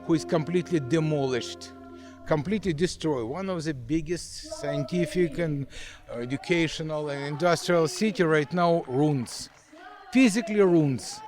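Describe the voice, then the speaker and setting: A man's voice: a little high, normal speed, faint music behind. Angry older man. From Ukraine. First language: Russian. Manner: angry, emphatic, forceful. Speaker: interviewee. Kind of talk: street interview. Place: outdoors in a park.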